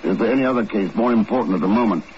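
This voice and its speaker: An actor playing a determined, pressing secret agent in a 1950s radio drama. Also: male voice, fairly low pitch, racing, lightly acted. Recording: muffled, faintly noisy 1950s radio recording.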